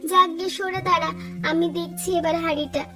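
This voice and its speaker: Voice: extremely high pitch, steady tone, brisk, over music. An actor voicing a chatty, teasing young village girl in a cartoon story. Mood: teasing, bossy. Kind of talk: Bengali cartoon story dialogue.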